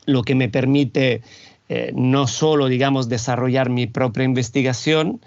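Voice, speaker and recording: A man from Italy, an Italian native speaker, speaking as a guest in a thoughtful, measured way, pausing to find his words. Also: mid-range voice, steady tone, creaky, a little mellow, no room sound, highs cut off. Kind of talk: reflective interview talk. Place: home, Barcelona.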